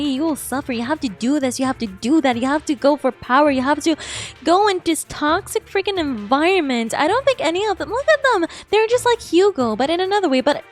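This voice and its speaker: A woman reacting live to a game as a streamer, chatty and exclamatory. Her high voice jumps around a lot, with faint music behind it. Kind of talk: casual live reaction commentary.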